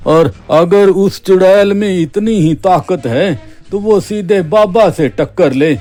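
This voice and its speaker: A man playing a wary, grumbling villager in cartoon story dialogue, arguing hesitantly and deliberately. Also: high-pitched, a little mellow. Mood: worried, grumbling. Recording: background music under the voice.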